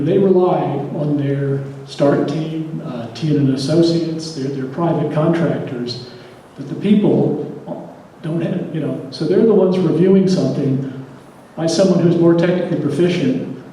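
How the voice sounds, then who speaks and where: A man giving a halting public comment at a community meeting, stumbling through fillers and restarts. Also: on the high side, warm tone. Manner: hesitant, many fillers and restarts. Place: large hall at a public meeting. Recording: echoey hall from far off, faint noise.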